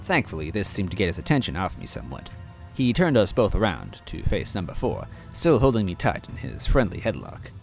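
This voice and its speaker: A man reading a first-person story aloud in expressive, scripted narration. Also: fairly low pitch, a little fast, creaky, soft background music. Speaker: story reader.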